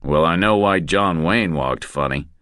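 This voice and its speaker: A terse, tough male investigator , played by a man speaks in an extremely low voice. His delivery is clipped, observant and matter-of-fact, as audiobook dialogue in a dry recording. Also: measured pace, fairly bright tone, clean tone, no room sound.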